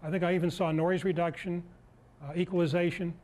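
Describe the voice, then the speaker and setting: Older american guy in his 60s showing a group how stuff works, kinda high voice, thinks out loud, stops and starts. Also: flat delivery. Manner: halting, thinking aloud while demonstrating. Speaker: presenter at a club meeting. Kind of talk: informal demonstration talk. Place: meeting room, indoors.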